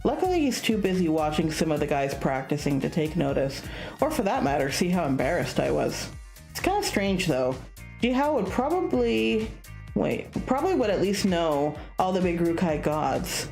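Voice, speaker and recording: A woman streamer reading a visual novel aloud in a casual, expressive narrating style. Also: fairly low pitch, normal speed, gravelly, soft background music.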